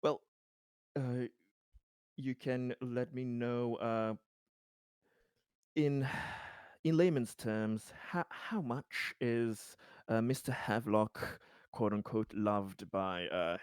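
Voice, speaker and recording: A man plays a discreet, diplomatic investigator in a sci-fi tabletop game. He speaks very slowly in a low voice, picking formal, careful phrases with many halts. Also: clear, resonant tone, dry recording, clean studio sound. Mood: guarded probing. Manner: careful, halting, formal euphemisms. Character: measured, diplomatic, discreetly probing male investigator.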